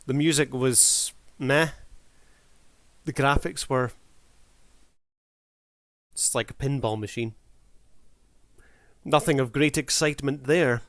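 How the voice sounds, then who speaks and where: A man's voice: mid-range voice, fairly even intonation, slow, pauses often, fairly bright tone, no room sound. A man reviews things casually for his channel in a mid-range voice. He sounds laid-back and wry, improvising as he goes. The recording is dry, with no room sound. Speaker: video reviewer and channel host. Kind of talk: casual off-the-cuff review commentary.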